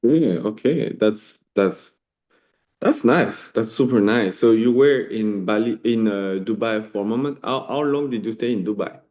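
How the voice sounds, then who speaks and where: French guy hosting an english interview, mellow dark tone, kinda muffled sound. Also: on the low side, measured pace. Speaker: language teacher, host.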